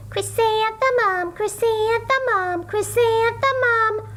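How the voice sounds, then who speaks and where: Woman voicing very high, lilting storybook flowers. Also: slow, a bit bright, heavily acted. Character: talking flowers calling out dreamily to a little girl.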